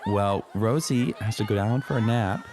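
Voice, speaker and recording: A man's voice: on the low side, normal speed, a crowd in the background, background noise, natural voice. A man playing a patient but harried zoo keeper in an improvised comedy radio play, politely placating and hesitant as he talks.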